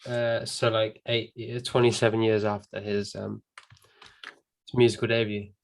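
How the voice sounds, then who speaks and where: A man's voice: on the low side, flat delivery, measured pace, gravelly, clear, resonant tone. A man, a comedian guest, chatting casually on a webcam, rambling, swearing freely and trailing off with ums. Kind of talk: casual chat.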